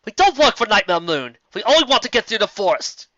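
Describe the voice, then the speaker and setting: A man's voice: high-pitched, sing-song, fairly quick, sharp tone, no echo, highs cut off, voice pushed up, full character voice. A man voicing an earnest young mare cellist who speaks firmly and pleadingly. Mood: insistent.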